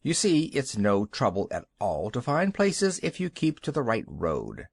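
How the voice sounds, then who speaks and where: A reader voicing a wise old wizard who speaks calmly and consolingly. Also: medium pitch, measured pace, creaky, husky, no echo, full character voice. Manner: consoling, wry.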